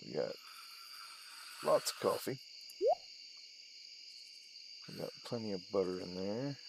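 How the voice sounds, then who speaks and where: A man's voice: fairly low pitch, very animated, normal speed, creaky, noisy background. A man giving casual commentary as he plays a video game, narrating his own actions in short clipped phrases. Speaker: gaming video host.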